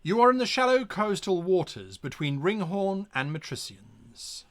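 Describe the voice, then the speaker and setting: A man narrating a gamebook as game master on a live stream, with lively asides and pirate growls. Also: high voice, moderate pace.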